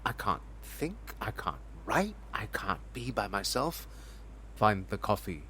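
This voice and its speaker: A man's voice: fairly low pitch, big pitch swings, measured pace, sharp tone, slight background noise, full character voice. A man playing a weary, irritable musician in an audio drama, evasive and withdrawn in manner. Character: weary, irritable male musician, leaving his country, evasive lover. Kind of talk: audio drama acting, monologue and domestic dialogue. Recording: music under the voice.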